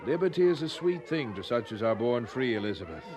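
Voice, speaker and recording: Actor playing an animated, earnest revivalist preacher. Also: male voice, medium pitch, brisk, lightly acted. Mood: persuasive. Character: zealous, earnest English revivalist preacher husband. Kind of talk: radio drama acting.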